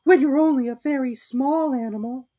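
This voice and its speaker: A woman's voice: high-pitched, moderate pace, mellow, voice pushed up. A woman voicing a very small, timid male piglet, earnest and nervous, in a storybook reading. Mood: sniffly, timid. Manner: fidgety, nervous, hesitant, earnest.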